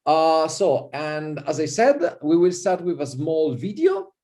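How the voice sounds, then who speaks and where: A man's voice: a little high, measured pace. Italian-accented man lecturing over video call. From Italy. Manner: relaxed, with frequent fillers and repeated "okay". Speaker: visiting professor. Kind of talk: online university lecture. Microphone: computer mic on a video call.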